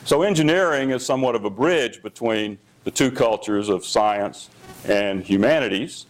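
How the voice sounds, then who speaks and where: A middle-aged man with a loud voice giving a public lecture as an engineering dean, pausing often with fillers and restarts. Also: mid-range voice, low-quality recording. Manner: hesitant, many fillers and restarts. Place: lecture hall.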